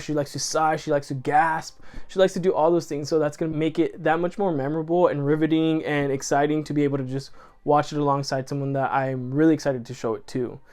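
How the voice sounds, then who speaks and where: This man talks straight to his viewers in a loose, effusive ramble, stopping often to correct himself. His voice is smooth and clear and sits slightly high for a man. His first language is Spanish. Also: steady tone, a little fast, a little mellow. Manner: rambling, earnest and effusive, with many self-corrections. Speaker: channel host. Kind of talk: casual chat to camera.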